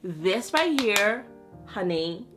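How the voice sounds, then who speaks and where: A woman's voice: medium pitch, very animated, drawn-out words, over music. A young woman whose first language is French, chatting through a makeup tutorial in a sassy, playful way with slang asides. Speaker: beauty vlogger.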